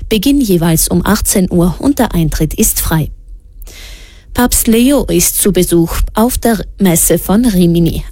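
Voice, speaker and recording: A woman presenting regional radio news in a steady, measured read. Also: on the low side, no echo. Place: radio studio. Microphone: studio microphone.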